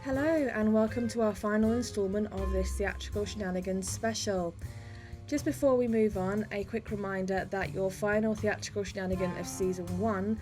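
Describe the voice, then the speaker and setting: A woman's voice: mid-range voice, fairly even intonation, normal speed, darkish tone. Woman host over music. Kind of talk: scripted introduction.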